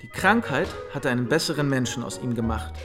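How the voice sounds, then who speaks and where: An actor playing an earnest, reflective storyteller, narrating a period novel in an audio drama. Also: male voice, mid-range voice, expressive, fairly quick, music in the background, natural voice.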